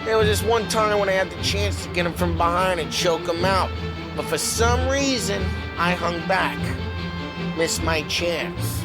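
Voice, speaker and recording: An actor playing a streetwise, foul-mouthed gangster, chatty and cocky, in a blunt, slangy crime-game style. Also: male voice, sits high, measured pace. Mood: bitter, rueful. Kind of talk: cinematic game voice acting, period crime drama. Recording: loud music over the dialogue.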